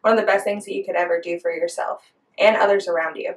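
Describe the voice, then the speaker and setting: A woman's voice: lowish voice, fairly even intonation, quick pace. A young woman in her twenties shares her faith in a casual podcast chat. She speaks in a heartfelt, chatty manner. Her voice comes from some distance from the microphone. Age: 20s. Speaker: podcast co-host.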